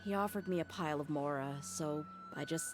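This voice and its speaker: A woman's voice: sits low, fairly quick, music in the background, close to an everyday voice. A woman voicing a blunt female mercenary. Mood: earnest. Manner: frank and casual, with heavy sighs.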